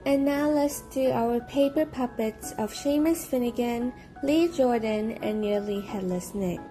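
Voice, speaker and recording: Mellow female tutorial narrator. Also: fairly high pitch, measured pace, soft background music. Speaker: student narrator of a craft tutorial. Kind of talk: scripted instructional narration.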